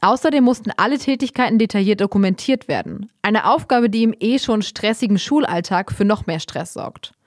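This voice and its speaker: A woman hosting a podcast, reading news items in an even, read-aloud way before moving into an interview. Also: medium pitch, a little fast, hardly any pauses, no room sound, clean studio sound. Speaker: podcast host.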